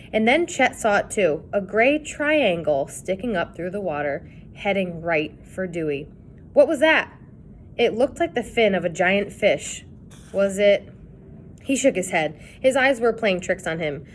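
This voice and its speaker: A woman reading a children's chapter book aloud in an expressive storytelling style. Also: medium pitch, moderate pace, a little hiss or hum. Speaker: reader.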